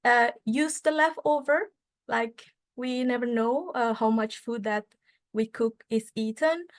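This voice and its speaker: Woman presenting with hesitant fillers. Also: fairly high pitch. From Indonesia. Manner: halting, many fillers and restarts. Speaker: student association speaker. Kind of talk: conference presentation.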